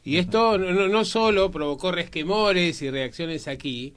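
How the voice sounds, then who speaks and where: A man's voice: high voice, a little slow, crowd noise. An Argentine man discussing history as a panelist, explaining emphatically and checking his points with short tag questions. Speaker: panelist on a history and politics programme.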